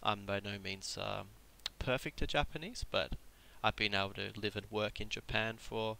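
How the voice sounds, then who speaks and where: A man with a cold runs a live online Japanese lesson in a casual, explanatory way. His voice is low and gravelly with a bright, edgy tone. He fills his explanations with ums and restarts. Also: fairly even intonation, moderate pace. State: has a cold. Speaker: Japanese tutor. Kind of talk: live-streamed language lesson.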